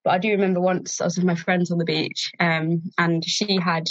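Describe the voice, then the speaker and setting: Young woman, lowish voice, muffled dry recording, giggly chat. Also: little pitch movement, brisk, dry recording. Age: young adult. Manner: light, giggly, encouraging. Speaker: podcast co-host. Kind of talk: casual podcast chat.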